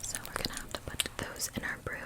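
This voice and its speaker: A woman playing a kindly, whimsical witch shopkeeper in a breathy, gentle ASMR roleplay whisper, often repeating phrases. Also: fast talker, lightly acted. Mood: welcoming, soothing.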